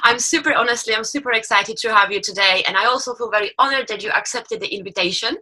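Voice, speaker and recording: A woman's voice: a little high, fast talker, sharp tone, poor audio. A woman hosting a podcast interview in an enthusiastic, effusive conversational manner. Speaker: podcast host.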